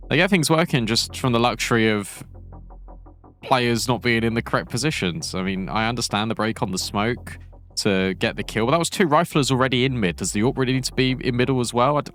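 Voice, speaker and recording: A male esports caster with a lowish voice, talking through plays in a relaxed, thinking-aloud way. Faint music plays underneath. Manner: conversational, repeats words as he thinks aloud. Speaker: esports commentator. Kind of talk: live game commentary and casual chat.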